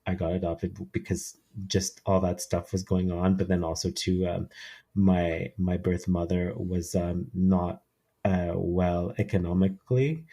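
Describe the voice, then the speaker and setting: A man in his thirties, from Peru and raised in Canada, talking as an interview guest. His voice sits very low and stays level, with little rise or fall. He speaks haltingly, often pausing on fillers and restarting his sentences. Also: level tone, mellow, husky. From Peru, raised in Quebec, Canada. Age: 30s. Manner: hesitant, many fillers and restarts. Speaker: podcast guest. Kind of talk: casual interview storytelling.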